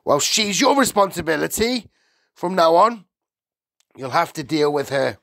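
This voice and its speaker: A man's voice: on the high side, very animated, unhurried, gravelly, a bit bright, no echo, pitched above the performer's own voice, exaggerated voice. A man voicing a rude, impatient middle-aged father in a storybook, with a curt, dismissive way of snapping out his words. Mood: suspicious, dismissive.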